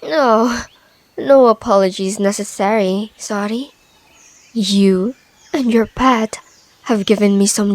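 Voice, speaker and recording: A woman's voice: fairly high pitch, a little mellow, no room sound. A woman voicing a possessive, coolly amused predatory monster in a fantasy audio roleplay, composed and gloating.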